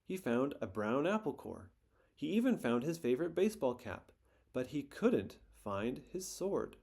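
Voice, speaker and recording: A man reading a children's picture book aloud in a gentle, expressive storytelling manner. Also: mid-range voice, a little slow, gravelly, slightly rough.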